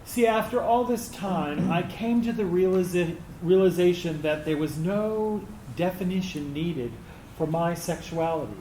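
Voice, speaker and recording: A man performing a self-accepting veteran's deliberate, emphatic monologue in a staged reading, in an echoey room. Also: a little high, darkish tone, lightly acted. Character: self-accepting Air Force veteran, free and defiant. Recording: echoey room, faint background noise.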